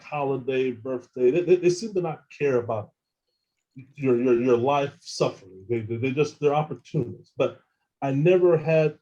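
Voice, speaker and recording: A man telling his own experiences as an interview guest, halting and often repeating words as he restarts sentences. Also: medium pitch, mellow, low-quality recording. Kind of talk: casual first-person account.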